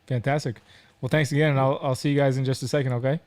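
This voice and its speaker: A man's voice: medium pitch, little pitch movement, fast talker, a little mellow. A man hosting a live stream, chatting casually with guests in an informal, jokey, rambling way.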